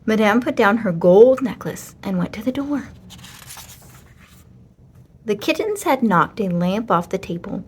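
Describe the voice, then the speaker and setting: A woman reading a children's story aloud as an expressive storyteller. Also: medium pitch, a little slow, long pauses, breathy edge.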